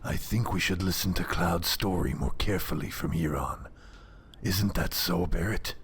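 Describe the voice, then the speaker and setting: An actor playing a wise, serious beast companion in a video game, calm, formal and deliberate. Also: male voice, sits low, breathy edge. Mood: grave.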